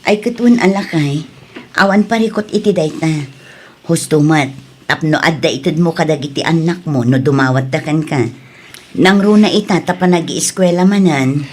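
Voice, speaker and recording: Nabambannog a husky nga ina nga iyakto ti babai. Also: female voice, low voice, normal speed, darkish tone. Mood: reassuring, chiding. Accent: Ilocano. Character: tired mother and wife, worn out by work. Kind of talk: Filipino audio drama acting.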